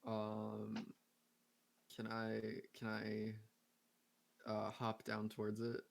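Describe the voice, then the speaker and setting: A man's voice: lowish voice, monotone, very slow, vocal fry, no room sound. A man playing in a tabletop game, casual and blunt, swearing freely. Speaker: player.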